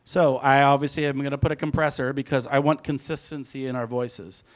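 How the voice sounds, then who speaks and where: A man's voice: medium pitch, steady tone, fairly quick. A man, a music producer, giving an informal, rambling hands-on presentation, talking through each step aloud as he goes. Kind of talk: casual hands-on audio production talk.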